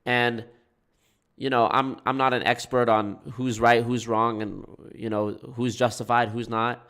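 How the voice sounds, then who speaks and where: A man's voice: medium pitch, flat delivery, measured pace, a bit bright. A man with a nasal, congested voice, talking casually to his livestream chat as a content creator and often restarting his sentences. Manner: rambling, self-correcting, frequent restarts. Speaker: anti-MLM YouTube creator.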